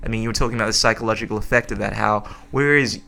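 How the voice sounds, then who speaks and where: Male podcast host, bright forward tone, lowish pitch, casual bro-speak. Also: steady tone, racing, gravelly. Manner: chummy, slangy, says "bro". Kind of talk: casual interview. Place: podcast studio.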